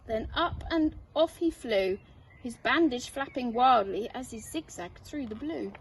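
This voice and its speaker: A woman head teacher reading a children's storybook aloud with expressive narration. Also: on the high side, measured pace. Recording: distant mic, faint noise.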